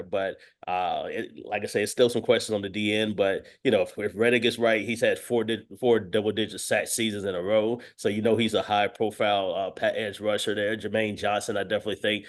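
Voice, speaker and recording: A man talking as a guest sports analyst, giving conversational football commentary sprinkled with verbal tics like "you know". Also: on the low side.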